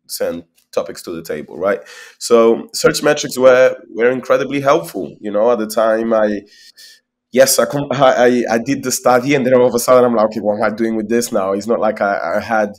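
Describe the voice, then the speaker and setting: Young man from Spain talking on a video call. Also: on the low side. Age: young adult. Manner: talkative, repeats words while thinking. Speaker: guest, company founder. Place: small room at home, indoors. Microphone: laptop webcam mic on a video call.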